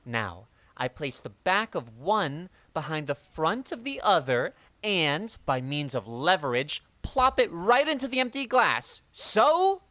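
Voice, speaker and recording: A man's voice: a little high, very animated, takes it slowly, few pauses, sharp tone, a little hiss or hum, exaggerated voice. A man playing an eager, hapless teenage boy in a 1940s-style radio comedy, gabbing earnestly. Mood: excitable, sheepish. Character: eager, hapless teenage boy schoolmate, showing off party tricks.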